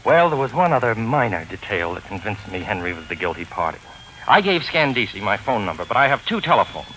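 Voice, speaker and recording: An actor playing a wry, pompous gentleman sleuth in a 1940s radio drama, with formal, genteel diction. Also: lowish voice, sing-song, brisk, rough recording. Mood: smug. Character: wry, pompous gentleman sleuth narrating his own caper. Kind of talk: 1940s radio drama acting, first-person narration. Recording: 1940s radio recording with music behind.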